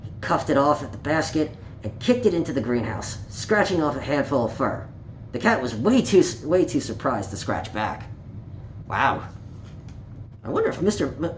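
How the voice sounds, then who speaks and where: A man reading a children's story aloud in a playful, child-directed way, chatting to his young listeners as he goes. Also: medium pitch. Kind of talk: read-aloud children's picture book with playful asides. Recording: roomy home recording with faint noise.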